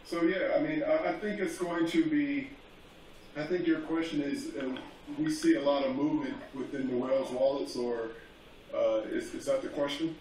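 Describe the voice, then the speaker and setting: A man's voice: on the high side, steady tone, moderate pace, a little mellow. A man speaking as a guest on a panel, hesitant, with many fillers and restarts. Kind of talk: casual panel talk. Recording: echoey remote call, faint noise, far from mic.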